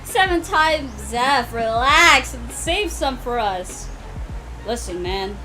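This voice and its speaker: A giggly, animated woman chatting casually and in slang on a livestream while she plays a game, poking fun at herself. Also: a little high, slow. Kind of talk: casual livestream chat while playing a visual novel. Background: music.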